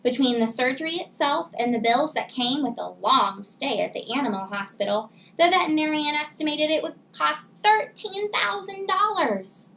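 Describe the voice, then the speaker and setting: A woman teacher reading a children's storybook aloud in a measured, expressive way. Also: high voice.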